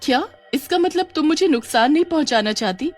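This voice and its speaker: A woman's voice: high-pitched, lively intonation, fairly quick, soft background music, pitched above the performer's own voice. A woman voicing a frightened, pleading young college student in a cartoon story, with urgent questioning. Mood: anxious. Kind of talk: Hindi cartoon story acting.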